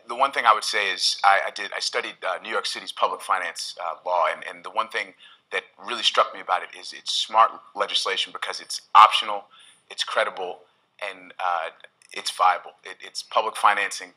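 A man in his thirties on a panel, talking quickly and emphatically through a lapel mic. His voice is very deep and bright. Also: rough recording. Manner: fast, emphatic, with restarts. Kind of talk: panel discussion. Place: lecture hall stage.

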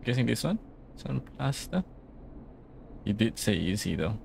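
A man giving casual, low-key gameplay commentary, muttering to himself as he goes. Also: big pitch swings, measured pace, gravelly, darkish tone, slight background noise. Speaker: let's play gamer.

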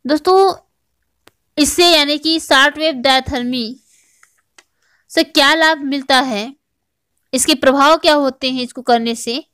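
A woman's voice: high-pitched, a little slow, long pauses, pushed and bright. A woman narrating a lesson as a teacher, explaining step by step and repeating key points. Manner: didactic, repeats key points as questions and answers. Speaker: teacher, online exam-prep educator. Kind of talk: scripted lesson narration over slides.

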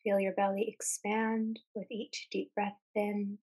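A woman guides a meditation in a soft, husky-breathy voice. Her delivery is very slow and level, with little rise or fall in pitch. She speaks calmly and soothingly, pausing between short phrases. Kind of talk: guided meditation, calm scripted narration.

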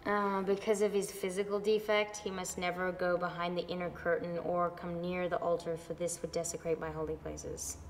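A woman's voice: on the low side, flat delivery, normal speed, hardly any pauses, natural voice. A young actress playing a curious woman who asks polite, searching questions. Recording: echoey hall, faint noise.

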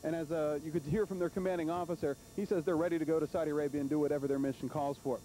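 Male TV reporter, thirties, high and fast, live on location, slight background hiss. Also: fairly even intonation, mellow, rough recording. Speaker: TV field reporter. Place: outdoors at an army base, on location. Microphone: handheld reporter microphone. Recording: faint hiss and rumble, old TV broadcast.

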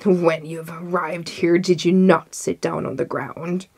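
A woman's voice: lowish voice, level tone, a little slow, a little mellow, husky. A woman voicing an enormous enraged male genie in a storybook, speaking in menacing, emphatic declarations. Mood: accusing, merciless.

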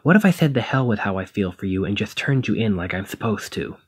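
A man playing a protective, conflicted demigod hero in a one-sided ASMR roleplay, speaking emotively and haltingly to the listener. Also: on the low side, lively intonation, husky. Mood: resigned, hurt. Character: brawny male demigod hero, protective, conflicted, exasperated lover.

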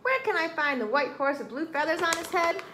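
A woman's voice: high-pitched, big pitch swings, unhurried, echoey room, background noise. A woman voicing a boastful, indignant rooster in a storybook, with a proud, squawking delivery. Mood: shouting.

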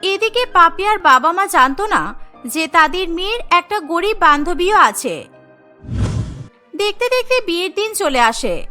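High-voiced woman narrating a cartoon. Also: a little slow, soft background music. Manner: plain, even storytelling.

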